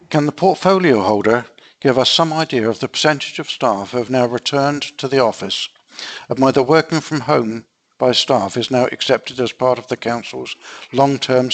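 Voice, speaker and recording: A man chairs a meeting in a mid-pitched voice. His style is formal and procedural. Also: poor audio.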